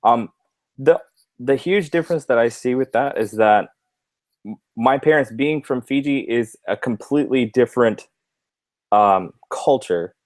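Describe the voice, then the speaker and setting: A man from the United States answering interview questions casually as a guest, in a halting, hesitant way. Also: mid-range voice, a little mellow, dry recording. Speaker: interview guest, stand-up comedian. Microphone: internet call.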